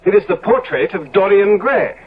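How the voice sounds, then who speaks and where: An actor playing an eternally youthful, corrupted and bitter gentleman killer in 1970s radio drama, with a theatrical, deliberate, sardonic delivery. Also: high voice, expressive, breathy edge. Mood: coldly sardonic. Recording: dull vintage radio recording.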